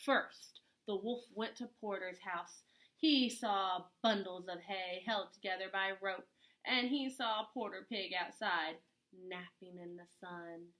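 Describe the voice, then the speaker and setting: Slow, bright woman reading a storybook. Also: mid-range voice, rough recording. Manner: animated, deliberate, dramatic emphasis. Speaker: children's story reader, teacher-style host. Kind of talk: expressive storybook read-aloud for young children.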